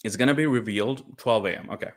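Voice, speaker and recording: A man's voice: on the low side, fast talker. A young man from India telling a personal story to camera in a candid, self-correcting way. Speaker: vlogger.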